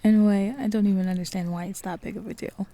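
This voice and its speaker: A woman acting a teasing, self-assured superhero in a roleplay audio drama, with a husky, gravelly voice and dry, bantering delivery. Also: mid-range voice, steady tone, quick pace, mellow, natural voice. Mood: confident, matter-of-fact.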